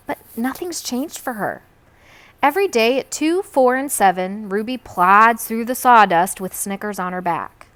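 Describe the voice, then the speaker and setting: A woman voicing a gentle, thoughtful adult male gorilla who tells his own story plainly in a storybook. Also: a little high.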